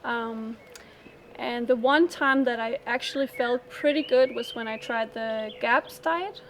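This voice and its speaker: A woman from Denmark telling her personal story in an interview, in a halting, thinking-aloud way. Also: fairly high pitch, slight background noise.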